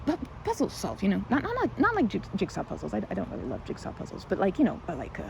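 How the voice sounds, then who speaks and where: A woman's voice: mid-range voice, wildly swooping pitch, fast, gravelly, background noise. A woman playing a timid, nervous young woman in an ASMR roleplay, stammering, repeating words and rambling in quirky, formal phrasing. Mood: flustered, eager. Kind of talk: ASMR roleplay, one-sided audio drama.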